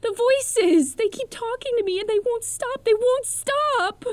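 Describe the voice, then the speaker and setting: A woman's voice: very high voice, unhurried, dry recording. A woman playing a fragile, desperate young woman in a horror audio drama, trembling, stammering and pleading.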